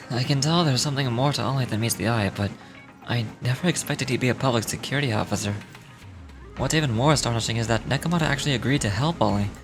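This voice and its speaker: A woman voicing a calm, thoughtful young male fixer in a video game, measured and matter-of-fact. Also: a bass voice, over music, pitched below the performer's own voice. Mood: calm, pensive. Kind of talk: video game dialogue read aloud in character.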